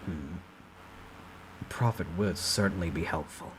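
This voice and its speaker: Casting: man playing a stern, distrustful young fighter, low and clipped, for a dramatic novel reading; faint noise. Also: measured pace. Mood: brooding. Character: cold, suspicious young male regressor fighter, terse.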